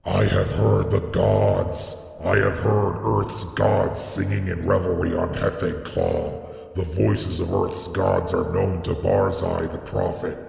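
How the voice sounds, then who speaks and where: A man voicing an arrogant, frenzied prophet, declaiming loudly in a gothic horror story. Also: sits low, little pitch movement, takes it slowly, vocal fry, pitched above the performer's own voice, full character voice. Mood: exultant. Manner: grandiose, shouting, declaiming. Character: Barzai, arrogant old prophet crying out in mad ecstasy. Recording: echoey hall with long reverb.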